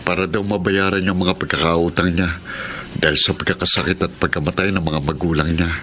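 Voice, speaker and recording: A lowish male voice with faint background noise, a devoted, lovelorn suitor in a radio-style drama. He is earnest, worried and pleading. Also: little pitch movement, brisk, bright, forceful tone. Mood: dejected, worried.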